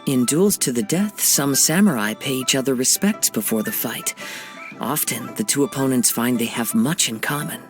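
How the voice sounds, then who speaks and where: A man voicing a calm, humble young samurai poet who speaks softly and politely, in a video game cutscene. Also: mid-range voice, moderate pace, natural voice. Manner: soft-spoken, polite, humble. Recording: game audio with music behind.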